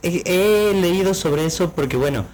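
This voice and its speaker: A man's voice: high-pitched, normal speed, a little mellow, a little hiss or hum, low-quality recording. A man co-hosting a casual podcast, explaining at length with many fillers. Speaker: podcast co-host.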